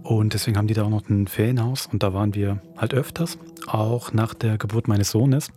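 A man's voice: lowish voice, steady tone, brisk, warm tone, slight background noise. A man hosting a podcast, moving between an introduction and conversational storytelling. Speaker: podcast host and producer.

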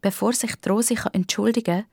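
A woman's voice: mid-range voice, fairly even intonation, fast talker, vocal fry, a little mellow, husky, no room sound, clean studio sound. A woman with a Swiss German accent reads scripted narration for a children's audio drama.

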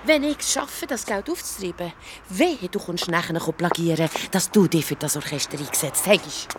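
A woman's voice: on the low side, lively intonation, moderate pace, close to an everyday voice. An actress playing an indignant, combative woman, confrontational in manner, in a radio drama. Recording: clear traffic noise.

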